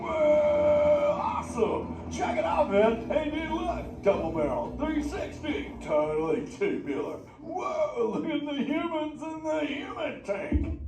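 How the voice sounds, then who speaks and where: A man's voice: extremely high pitch, sing-song, slow, over music, full character voice. A man voicing a laid-back male surfer sea turtle who drawls slang and teases the audience in a live show. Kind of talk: live interactive theater show. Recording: echoey theater from far off, noisy.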